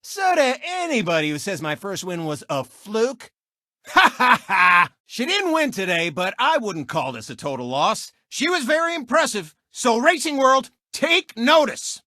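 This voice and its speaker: An actor voicing a cocky, boastful talking race car who hosts a sports show in a video game, with smug, showy, mocking commentary. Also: male voice, very high voice, big pitch swings, a little slow, sharp tone, no echo, clean studio sound, exaggerated voice. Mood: gloating, taunting. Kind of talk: animated sports commentary and taunting.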